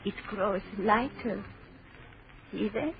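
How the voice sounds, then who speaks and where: A woman's voice: mid-range voice, slow pace, a crowd in the background, close to an everyday voice. A woman playing an eerie, morbid old woman in an old radio horror drama, speaking in an ominous, incantatory way with repeated phrases. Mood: foreboding. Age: older. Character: eerie old French woman, morbid keeper of guillotine lore. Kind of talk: 1930s-40s radio horror acting. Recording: noisy, muffled old radio recording.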